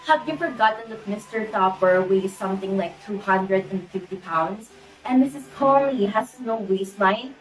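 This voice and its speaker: A woman's voice: mid-range voice, moderate pace, reverberant, far from the mic, faint music behind, close to an everyday voice, rough recording. A teenage girl playing a bossy, fussy society mother in an amateur one-act play. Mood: scolding.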